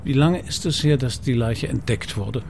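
An actor playing a persistent, politely ironic police commissioner in a naturalistic radio crime drama. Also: male voice, medium pitch, moderate pace, gravelly, a little mellow, slight background noise, lightly acted. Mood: insistent. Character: male police commissioner, persistent, dryly sardonic.

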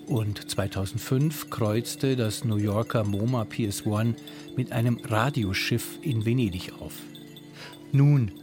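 A man reading a scripted radio feature as an arts reporter. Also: lowish voice.

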